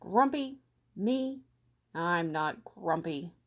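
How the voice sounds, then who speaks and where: A woman's voice: fairly low pitch, lively intonation, very slow, heavily acted. A woman voicing a grumpy, stubborn male ape from a storybook, protesting in an emphatic, indignant way.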